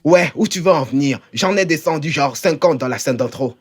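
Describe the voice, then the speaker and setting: A man's voice: a little high, lively intonation, fairly quick. A man voicing a boastful, bumbling, cowardly young cowboy outlaw in a cartoon dub, blustering theatrically. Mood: defensive. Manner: blustering, theatrical bragging that cracks into panic. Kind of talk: cartoon fan dub acting.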